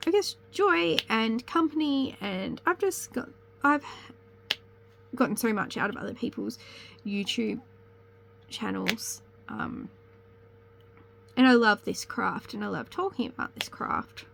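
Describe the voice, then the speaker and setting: A woman's voice: on the high side, animated, measured pace, gravelly, fairly bright tone, a little hiss or hum. A young woman with a slight cold chats casually to camera as host of a crafting channel, rambling and correcting herself as she goes. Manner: rambling, chatty, self-correcting. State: sniffly with a cold.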